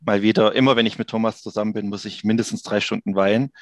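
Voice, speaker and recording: Male guest, fairly deep voice, informal, drily joking conversational delivery. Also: steady tone, fast. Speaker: radio play producer and interview guest. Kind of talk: casual chat. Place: home room, video call.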